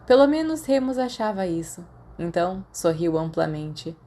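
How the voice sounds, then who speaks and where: A woman's voice: lowish voice, very animated, moderate pace, dark tone. A woman with a Brazilian accent narrating scripted fiction as an audiobook reader.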